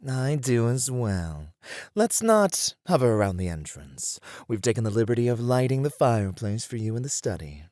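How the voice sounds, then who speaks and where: A man playing a flirty, devoted incubus demon in an ASMR roleplay, teasing his master with effusive flattery. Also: medium pitch, normal speed, dry recording, studio quality, natural voice. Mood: smooth, teasing.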